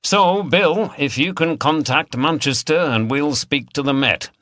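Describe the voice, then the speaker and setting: A senior police detective, acted by a male audiobook reader, brisk and in charge. He gives orders decisively in a bright, forceful tone. The sound is dry and close. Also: fairly high pitch, dry recording, pitched above the performer's own voice. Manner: decisive, commanding. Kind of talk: audiobook character dialogue.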